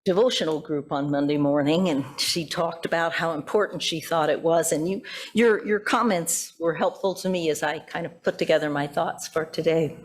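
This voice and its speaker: A woman's voice: sits low, normal speed. A woman pastor preaching a prepared sermon in a conversational, reflective way with informal asides.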